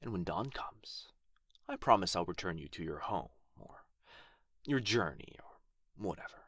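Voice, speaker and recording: A man's voice: sits low, expressive, moderate pace, a bit bright, no room sound, full character voice. A man playing a proud, pompous male dragon in a fantasy ASMR roleplay, with archly formal, theatrical phrasing. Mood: sly, possessive. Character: proud, haughty injured male dragon, grudgingly grateful, teasingly possessive.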